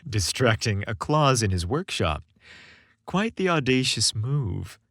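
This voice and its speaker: A man voicing a brainy young boyfriend in a romantic audio story, wry, excitable and bantering. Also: medium pitch, slow pace, no echo, studio quality, exaggerated voice. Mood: amused, sly.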